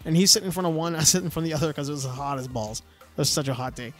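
High-voiced man telling a story casually. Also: rapid-fire, faint music behind. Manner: animated storyteller, many restarts and "like" fillers. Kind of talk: casual podcast chat.

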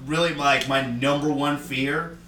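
A man's voice: mid-range voice, steady tone, measured pace, bright, forceful tone. A man co-hosting a casual podcast, joking around and swearing freely in a rambling way. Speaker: podcast co-host. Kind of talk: casual chat. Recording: echoey room, mic at a distance, faint hiss.

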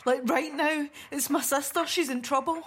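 Casting: actress as anxious Scottish woman, high voice, insistent and exasperated, radio drama emergency call. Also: fairly even intonation, normal speed, a bit bright. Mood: urgent. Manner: urgent, exasperated. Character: worried, insistent sister phoning the police. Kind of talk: radio play acting.

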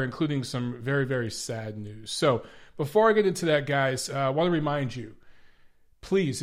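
A man hosting a boxing podcast, speaking casually to his viewers, his voice a little stuffy and congested, repeating phrases and often saying "guys" and "uh". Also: a little high. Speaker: podcast host.

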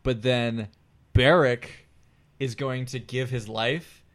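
A man's voice: mid-range voice, steady tone, takes it slowly, fairly bright tone. A man co-hosting a podcast, chatting casually and enthusiastically as a fan in a group discussion about TV. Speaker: podcast co-host.

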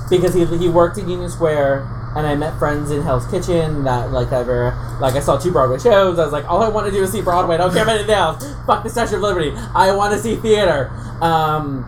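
A man's voice: fairly high pitch, fairly quick. A man in his thirties from Southern California, a drag performer chatting as a podcast guest, rambling with lots of fillers and restarts. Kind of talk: casual podcast interview. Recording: steady background noise.

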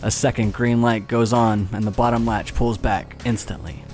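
A man narrating a tabletop game as game master, steady and descriptive with casual asides. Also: on the low side, normal speed, soft background music.

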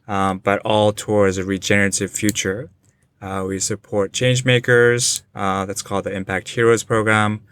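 A man in his forties from Japan, talking as a guest in a relaxed interview, with frequent "uh" fillers, joining from a remote call. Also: lowish voice, clean tone. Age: 40s. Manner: thoughtful, many "uh" fillers. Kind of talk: casual interview conversation. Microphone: remote online call.

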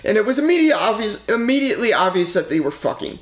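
A man's voice: sits high, very animated, fast, roomy sound. A man co-hosting a casual true-crime podcast, rambling with lots of fillers and swearing freely. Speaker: podcast co-host telling a true-crime case.

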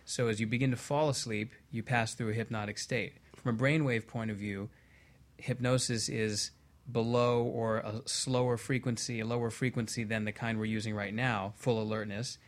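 A man's voice: medium pitch, fairly even intonation, moderate pace. Man explaining as a talk show guest. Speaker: guest hypnotherapist.

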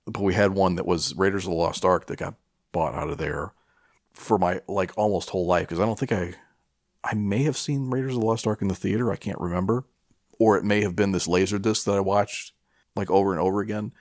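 A middle-aged American man with a low voice, recorded dry and close. He chats casually as a podcast co-host, wandering through his stories with stops, restarts and plenty of swearing. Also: no echo. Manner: profane, rambling, halting. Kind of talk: casual chat, rambling storytelling.

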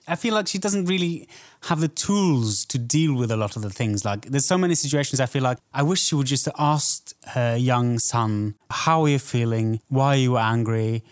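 A man's voice: medium pitch, lively intonation, moderate pace, hardly any pauses. A man co-hosting a film podcast, talking in a thoughtful, conversational way in a casual discussion.

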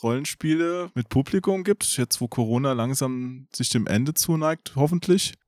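Middle-aged male interviewer, medium pitch. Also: normal speed, a little mellow. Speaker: interviewer, host. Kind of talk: casual interview.

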